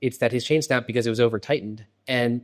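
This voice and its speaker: A man hosting an interview podcast, chatty and conversational, often checking in with a quick "right?". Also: medium pitch, fairly even intonation. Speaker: podcast host.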